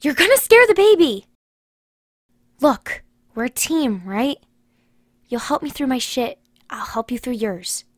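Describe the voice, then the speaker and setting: A woman's voice: high voice, very animated, unhurried, long pauses, no echo, studio quality, heavily acted. A woman playing a blunt but caring grandmother in recovery, speaking earnestly in an audio drama. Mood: worried, firm. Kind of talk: podcast audio drama acting.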